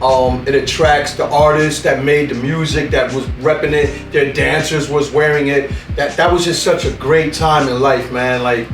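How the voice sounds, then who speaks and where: A man hosting a casual interview show, talking in laid-back street slang and giving shout-outs. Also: a little high, fairly even intonation, moderate pace, hardly any pauses, reverberant, recorded from a distance, soft background music. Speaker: host.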